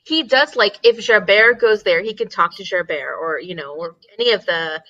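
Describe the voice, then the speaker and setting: A woman talks casually as co-host of a book chat. Her voice is bright and forward, at an everyday pitch, and the audio is slightly dull, like an online call. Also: moderate pace.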